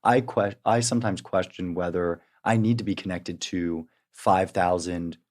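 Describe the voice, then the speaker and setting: A man hosting a podcast interview, talking in a relaxed, conversational way. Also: low-pitched, measured pace. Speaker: podcast host. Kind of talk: casual interview chat.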